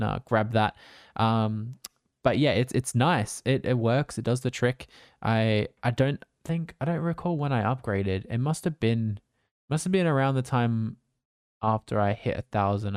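Man, streamer giving tips, relaxed and rambling, clear resonant voice, dry close condenser mic. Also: on the low side, no echo. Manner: relaxed, rambling, with fillers and restarts. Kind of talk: casual advice talk to camera. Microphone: condenser desk mic with pop filter.

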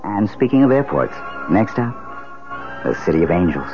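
Breezy, animated newlywed husband. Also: male voice, sits low, moderate pace. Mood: breezy, teasing. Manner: breezy, teasing, dismissive of worry. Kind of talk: 1970s radio mystery acting. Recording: muffled 1970s radio, music behind.

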